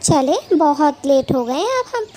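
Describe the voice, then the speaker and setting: A woman's voice: high-pitched, very animated, measured pace, noisy background. A woman voicing a greedy, lazy female thief bird in a children's cartoon, coy and melodramatic. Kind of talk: children's cartoon story acting.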